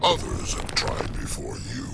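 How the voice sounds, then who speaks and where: A man playing an ancient, solemn swamp plant monster in a video game, ominous and measured. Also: over loud music, natural voice.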